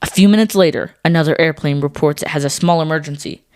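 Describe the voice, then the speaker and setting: A woman co-hosting a scripted educational podcast for children, explaining things clearly. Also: low voice, fast talker, darkish tone, dry recording, clean studio sound. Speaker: podcast co-host.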